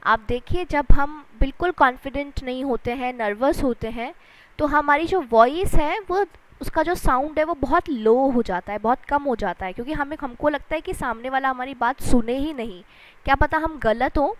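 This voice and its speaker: A woman's voice: high-pitched, moderate pace. A woman with an Indian accent speaking as a lecturer, explaining in a didactic way, rephrasing points and stressing key words. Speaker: English lecturer. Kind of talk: explanatory lesson switching between Hindi and English.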